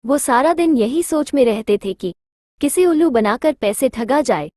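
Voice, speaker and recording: A woman's voice: high-pitched, expressive, moderate pace, dark tone, dry recording, clean studio sound. A woman narrating a scripted children's moral story.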